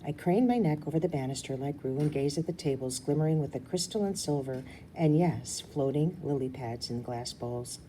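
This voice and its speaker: A woman's voice: low voice, moderate pace, few pauses, warm tone, slight background noise, poor audio. A woman reading a children's story aloud expressively, slipping into casual asides. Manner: breaks off for casual asides and explanations. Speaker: book reader.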